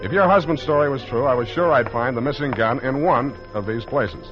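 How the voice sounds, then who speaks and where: An actor playing a wisecracking hardboiled private detective, wry and deadpan, in 1940s radio style. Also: male voice, mid-range voice, brisk, natural voice. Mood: wry, breezy. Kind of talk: 1940s radio acting with narration. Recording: muffled 1940s radio recording, noisy music.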